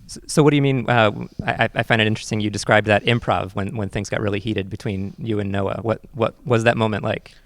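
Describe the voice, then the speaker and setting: A man's voice: lowish voice, fairly even intonation, fast talker, few pauses, dry recording. A teenage male host with an American accent, asking interview questions in a conversational way. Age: teens.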